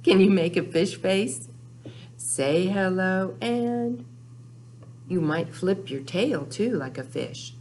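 Older woman leading storytime, very slow and lively. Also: mid-range voice, slight background noise. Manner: simple, repetitive, sing-song address to young children. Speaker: children's librarian, storytime host. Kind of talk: children's storytime with rhymes, counting and songs. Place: small indoor room with whiteboard.

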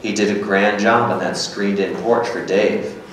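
A man in his thirties reading the part of a dry, blunt, sceptical companion in a staged script reading, deadpan and terse. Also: fairly low pitch, normal speed, natural voice. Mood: musing, dry. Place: small hard-walled gallery room, indoors. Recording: very echoey room, distant, faint noise.

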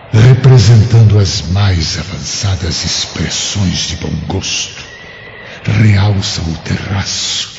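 A man's voice: deep voice, vocal fry, full character voice. An actor playing a florid Roman man in an audiobook drama, grandiose, with a Brazilian accent. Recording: dull lo-fi audio, background noise.

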